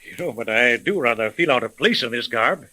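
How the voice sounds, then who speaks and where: Flustered, bright-voiced gentleman. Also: medium pitch, expressive, brisk. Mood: grateful, flustered. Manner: polite, hesitant, flustered. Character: fussy, anxious well-to-do gentleman client. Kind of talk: 1930s radio drama acting.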